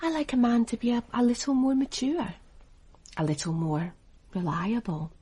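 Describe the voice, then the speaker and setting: A woman's voice: on the high side, normal speed, dark tone, slightly rough. An actress playing a cool, calculating businesswoman in a radio drama, guarded and seductive.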